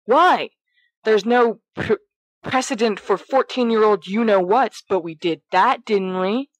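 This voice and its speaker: A woman voicing a brash, excitable fourteen-year-old schoolboy in an audio fiction reading, teasing and bossy in manner. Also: medium pitch, measured pace, no room sound, voice pushed up, close to an everyday voice, poor audio. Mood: pleading.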